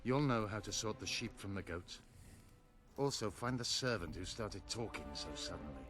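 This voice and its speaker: An actor playing a hot-headed, commanding rebel warrior in a video game, giving urgent, forceful orders. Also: male voice, on the low side, animated, moderate pace, soft background music. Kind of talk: video game dialogue acting.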